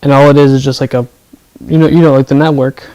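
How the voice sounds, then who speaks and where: A man's voice: mid-range voice, little pitch movement, fast talker, dark tone, low-quality recording. A man chatting casually while he sound-checks his streaming gear, thinking aloud and often answering "right".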